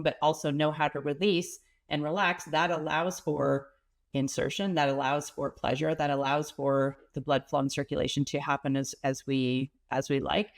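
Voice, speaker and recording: A woman with a cold and a slightly stuffy, nasal voice, a pelvic health expert calmly explaining things as an interview guest. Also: low-pitched. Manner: earnest, explanatory, some restarts. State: has a cold, sniffling.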